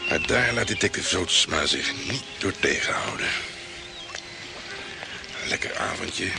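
A man's voice: low voice, lively intonation, unhurried, vocal fry, music in the background, heavily acted. An actor playing a brash, self-important hard-boiled male private detective in a radio play, blustering and condescending. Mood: self-satisfied musing.

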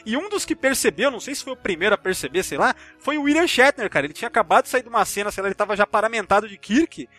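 A man's voice: high voice, sing-song, racing, few pauses, fairly bright tone, soft background music. A Brazilian man hosting a podcast, talking film with friends in a chatty, slangy, rambling way and swearing freely. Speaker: podcast host. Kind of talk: casual film discussion and anecdotes among friends.

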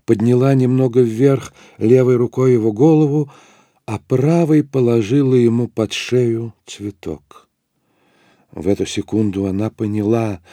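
A man narrating a literary audio drama in a measured, solemn storytelling manner. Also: medium pitch, mellow, no room sound, studio quality.

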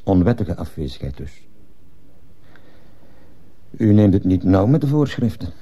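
Very deep, dark-voiced stern headmaster. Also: male voice, husky, full character voice. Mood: stern, formal. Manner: formal, measured, officious. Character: stern, formal male school headmaster, coldly dutiful. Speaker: actor in a radio play. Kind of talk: Dutch-language radio drama acting.

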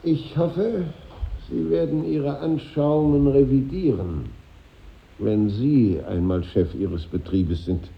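An actor playing a formal schoolmaster who gently lectures his class in a 1950s radio play, with a didactic manner. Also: mid-range voice, slow pace, gravelly. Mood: schoolmasterly, dry. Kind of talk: 1950s radio drama acting. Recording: muffled echoey old radio recording.

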